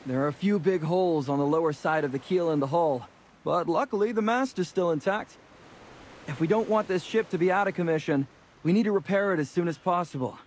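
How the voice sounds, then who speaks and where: Fairly high male voice, actor as young pirate sailor, breezy, confident, swaggering, video game. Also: brisk, darkish tone, a little hiss or hum, close to an everyday voice. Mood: practical.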